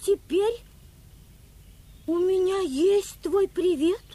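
A woman playing an excitable, stubborn little female monkey in a children's audio play, with a squeaky, childlike voice and an impatient, emphatic manner. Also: drawn-out words, full character voice. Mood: wondering.

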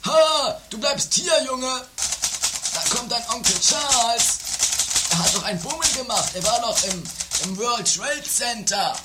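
A woman's voice: on the high side, animated, measured pace. A woman playing a bossy, scolding mother in an improvised radio comedy, overblown and indignant. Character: bossy, scolding, theatrical mother with a quick temper. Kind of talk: improvised trashy 1980s radio comedy acting. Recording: amateur 1980s radio play over music.

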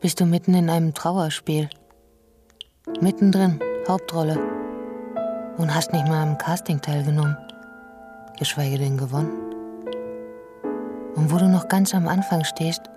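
An actress playing a fatalistic, sardonic woman who muses in measured, reflective narration in a radio drama. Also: low-pitched, fairly even intonation. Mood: wry, reflective. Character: wry, fatalistic woman musing on tragedy. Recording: piano music behind.

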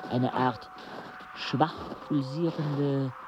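An actor playing a weary, anxious mountain traveller in a radio play, speaking and narrating hesitantly. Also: male voice, mid-range voice, fairly even intonation. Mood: grave, uneasy. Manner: slow, hesitant, deliberate delivery. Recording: noisy, slightly muffled outdoor soundscape.

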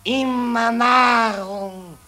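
A man playing a carrion raven in a radio drama, declaiming rhymed verse with smug, drawn-out relish. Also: very high-pitched, steady tone, sharp tone, heavily acted. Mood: smug gloating. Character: carrion ravens, smugly gloating war profiteers.

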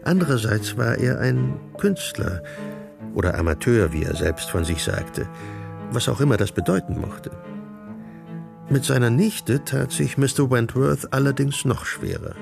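A dry storyteller narrates a radio drama. His voice is very creaky and gravelly at a medium pitch, with music clearly playing behind it. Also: animated, unhurried. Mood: measured, wry.

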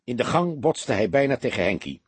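A man narrates a crime story in a very husky voice. His pitch is medium and moves animatedly, and the recording is close and dry. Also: sing-song, brisk, dry recording. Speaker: audiobook narrator.

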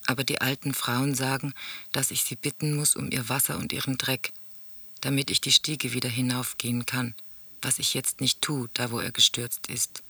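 An actor playing a sombre teller in a radio drama, reciting grim memories and letters in a solemn, measured, husky voice. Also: male voice, medium pitch, level tone, pushed and bright, dry recording, full character voice. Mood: guilty pleading.

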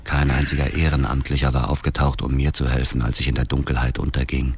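Very deep-voiced, bitter avenging widower. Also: male voice, little pitch movement, fast, slightly rough, heavily acted. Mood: brooding. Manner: brooding, controlled, menacing. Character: cold, bitter widower bent on revenge, middle-aged man. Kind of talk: radio drama narration and dialogue.

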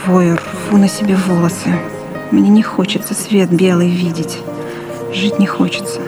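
A woman's voice: lowish voice, level tone, measured pace, close to an everyday voice. A woman playing a terrified pregnant village mother, dazed with dread, in an audio drama monologue. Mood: dread, grief. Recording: music behind the voice.

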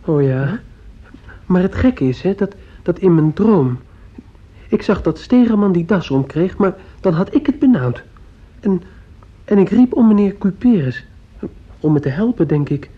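A man's voice: high-pitched, animated, a little slow. An actor playing a feverish fifteen-year-old boy in a radio play, earnest and dreamy, hesitantly groping for words. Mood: earnest, puzzled. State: ill, sore throat. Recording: dull lo-fi old radio recording.

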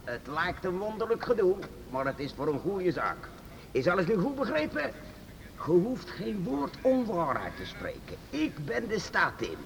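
A man's voice: high voice, vocal fry, slight background noise, exaggerated voice. Een man speelt een geheimzinnige oude meester-uitvinder in een hoorspel, kalm en geruststellend. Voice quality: measured, reassuring, lively intonation. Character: secretive old master inventor, calm and fatherly. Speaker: master craftsman. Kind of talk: radio play acting.

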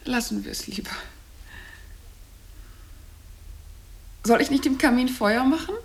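High-voiced, gushing, meddling wife. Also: female voice, fairly quick. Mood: earnestly concerned.

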